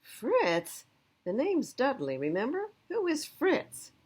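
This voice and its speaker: A woman's voice: sits high, wildly swooping pitch, slow pace, a little mellow, breathy edge, voice pushed up, exaggerated voice. A woman voicing a wry, thoughtful rescue dog in a storybook, with an emphatic, plain-spoken delivery. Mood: indignant, insistent.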